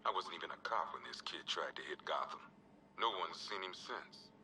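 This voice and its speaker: An actor playing a gruff, world-weary American police officer, logging evidence for a video game in a terse, streetwise way with dry, wry asides. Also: male voice, sits low, animated, normal speed, pushed and bright, distant mic, a little hiss or hum, full character voice. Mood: dry, matter-of-fact. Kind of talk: scripted video game evidence log narration.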